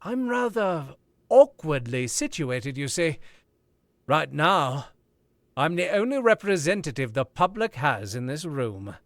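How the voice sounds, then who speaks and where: A suave, ironic author, as an actor plays him in an old-time radio thriller. He speaks slowly and coolly, with a lively voice that rises and falls. The mic is close and dry. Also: fairly high pitch, no room sound. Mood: coolly menacing. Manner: cool, measured, ironic. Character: suave, probing older male author. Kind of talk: 1940s radio acting.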